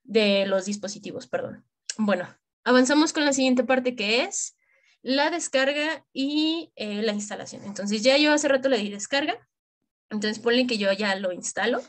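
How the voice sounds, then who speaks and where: A woman's voice: fairly high pitch, moderate pace. A young adult woman from Mexico with a Mexican accent, giving an informal tutorial in colloquial, slangy language, speaking straight to the listener. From Michoacán, Mexico. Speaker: tutorial presenter for medical students. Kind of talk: informal screen-share tutorial.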